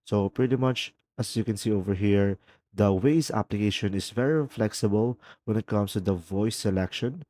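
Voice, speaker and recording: A man narrates a step-by-step tutorial in a casual style. His voice sits on the low side, with a dark, relaxed tone. The sound is very dry, with no room around it. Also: moderate pace, no echo. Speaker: tutorial host.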